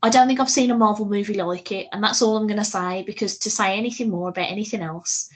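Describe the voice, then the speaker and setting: A woman's voice: mid-range voice, fast talker. A woman chatting casually as a podcast co-host, giggly and talkative, with a loose, sweary way of talking.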